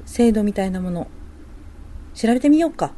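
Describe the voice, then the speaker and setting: A woman playing a working young mother and wife in a radio drama, talking softly and conversationally as she thinks aloud. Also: medium pitch, expressive, normal speed, close to an everyday voice. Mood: curious. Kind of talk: amateur radio drama dialogue.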